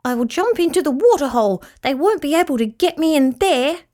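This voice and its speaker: Woman as a plaintive, high-voiced little sea rat. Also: animated, slow. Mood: panicked. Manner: plaintive and indignant. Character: naive, fretful, easily offended little male sea rat. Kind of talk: children's storybook character voice.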